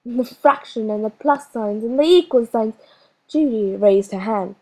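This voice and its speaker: A woman narrating a children's storybook in a slow, expressive reading with lively emphasis. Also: fairly high pitch. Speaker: audiobook narrator.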